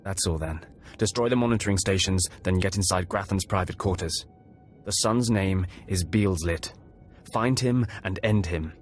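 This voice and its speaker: Undercover Sith agent for a game, acted by a man: deep, haughty, sarcastic, looks down on everyone, soft score underneath. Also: normal speed, soft background music, natural voice. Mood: condescending. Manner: sneering, condescending. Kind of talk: video game cutscene acting.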